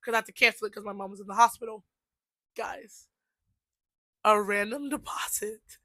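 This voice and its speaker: A young woman in her twenties telling a personal story in a casual vlog, animated and chatty, full of "like" fillers and laughing asides. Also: mid-range voice, no room sound. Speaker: vlogger.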